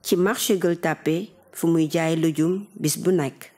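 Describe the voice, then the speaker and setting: A woman's voice: deep voice, fairly even intonation, measured pace, dark tone. A woman reading scripted narration for a radio drama.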